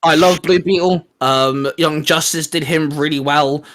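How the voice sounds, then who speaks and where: A man with a slightly high voice co-hosts a casual geek chat. He rambles along in a chatty, hesitant fashion, like a fan talking comics with a friend. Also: fairly even intonation, measured pace, fairly bright tone. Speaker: co-host of a geek discussion channel.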